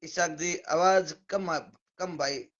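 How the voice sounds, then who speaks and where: A man with a Rajasthani accent and a hoarse, somewhat high voice greets his live-stream viewers one after another, thanking each by name in a relaxed way. The sound is dull and lo-fi, as if streamed from a phone. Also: takes it slowly. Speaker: devotional singer hosting a live stream.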